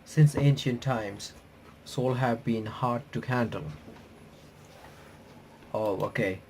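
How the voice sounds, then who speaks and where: A man doing a casual visual novel playthrough, reading the on-screen text aloud in a halting, stumbling way and reacting as he goes. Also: mid-range voice, darkish tone, slight background noise. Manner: halting, stumbling reader who rereads phrases.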